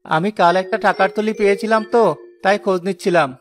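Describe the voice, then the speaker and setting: A high-pitched male porter, played by a man, honest and steadfast. He speaks with firm principle in an animated folk tale, with music underneath. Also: fast talker, close to an everyday voice. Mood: resolute.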